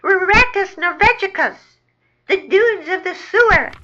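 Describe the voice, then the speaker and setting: Woman doing a shrill, skinny sewer rat. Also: measured pace, muffled recording, full character voice. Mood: excited, shrieking. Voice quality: high-pitched, shrill. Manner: shrieking, excitable. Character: skinny high-pitched shrieking sewer rat, male. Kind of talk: children's storybook character voice.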